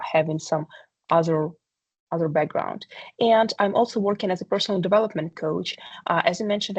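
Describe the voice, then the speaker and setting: A woman's voice: lowish voice, darkish tone, breathy edge, no room sound. A woman, giving a talk on a video call, earnest and hesitant with many fillers. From Ukraine. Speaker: presenter. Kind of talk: online meeting presentation.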